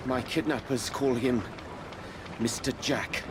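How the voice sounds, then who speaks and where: A man's voice: medium pitch, fairly even intonation, takes it slowly, natural voice. Man acting a polite rescued captive, noisy surroundings. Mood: grateful, earnest. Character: grateful rescued son, formally polite. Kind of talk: dramatic video game acting.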